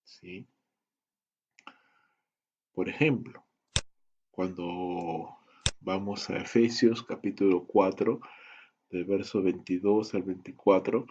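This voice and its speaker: A man teaching a Bible study lesson, in a measured, deliberate style that moves through lists and rhetorical questions. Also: low-pitched, fairly even intonation, a little mellow, highs cut off, slight background noise. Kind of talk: slow, deliberate teaching lecture.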